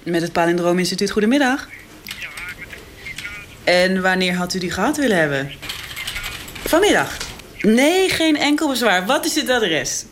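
Woman playing polite call centre operator, very animated pitch, helpful, radio comedy, some noisy office background. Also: moderate pace, pauses often, natural voice. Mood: helpful, chirpy. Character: chirpy, helpful female institute telephone operator. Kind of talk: one-sided phone call in satirical radio drama. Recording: clear background office noise, clicks.

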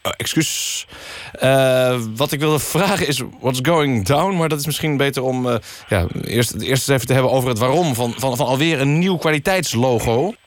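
Man as a deadpan satirical radio host. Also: medium pitch, moderate pace, close to an everyday voice. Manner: deadpan, polite, stumbling in questions. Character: straight-faced male radio magazine host. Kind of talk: mock news reading and interviews.

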